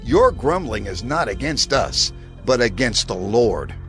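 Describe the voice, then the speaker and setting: An earnest prophet and leader declaims with firm emphasis. His pitch moves expressively as he commands his people in a scripture drama, over quiet music. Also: lively intonation, unhurried. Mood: indignant. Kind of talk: dramatized scripture audio acting.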